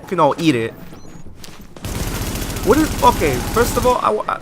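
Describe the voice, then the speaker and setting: A man's voice: sits high, very animated, moderate pace, background noise. A man giving post-recorded commentary over gameplay, chatty and rambling, often asking viewers questions. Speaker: let's play host.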